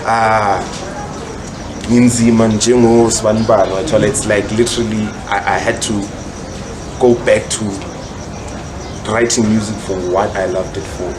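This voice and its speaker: Man, South African accent, lowish pitch, slangy explanatory talk, casual podcast guest, very noisy ambient recording. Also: steady tone, measured pace, pauses often. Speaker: podcast guest, rapper and songwriter.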